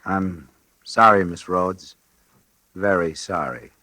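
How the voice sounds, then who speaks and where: Low-voiced, politely menacing elevator man. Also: slow pace, pushed and bright, no room sound, heavily acted. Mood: apologetic. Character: menacing, falsely polite male night elevator operator. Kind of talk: 1940s radio acting.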